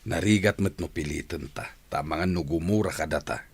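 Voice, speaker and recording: Guy playing a worried but forgiving dad in a radio drama, really low voice. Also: a little fast, breathy edge, heavily acted. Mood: resigned. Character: caring family father, an uncle, worried but forgiving. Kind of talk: Filipino audio drama acting.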